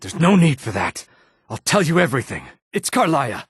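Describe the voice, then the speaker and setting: A male actor voicing a sly, courteous lizard-man townsman in a fantasy video game, with a husky, raspy voice and measured, formal phrasing. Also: on the high side, big pitch swings, no room sound, studio quality, heavily acted. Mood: panicked, pleading. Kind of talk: scripted video game dialogue acting.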